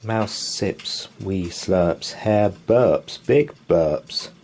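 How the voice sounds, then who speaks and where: A man reading a children's bedtime picture book aloud in a slow, sing-song storytelling manner. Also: low-pitched, a little mellow, a little hiss or hum. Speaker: story reader.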